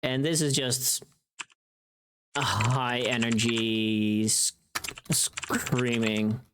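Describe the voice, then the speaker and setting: A woman's voice: rumbling low, fairly even intonation, extremely slow, background noise. A woman livestreamer chatting casually in a playful, teasing, informal way, often thinking aloud and trailing off.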